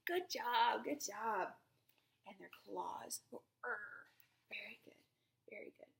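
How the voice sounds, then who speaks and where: A young woman reading a picture book aloud to small children, breathy and often near a whisper, with encouraging exclamations and simple questions. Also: on the high side, lively intonation, slow.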